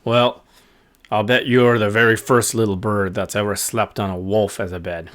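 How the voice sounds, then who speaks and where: A man voicing a big, gentle, friendly male wolf in a children's story, amiable and thoughtful in his pauses. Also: fairly low pitch, level tone, lightly acted. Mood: amused. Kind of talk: improvised children's story character voice.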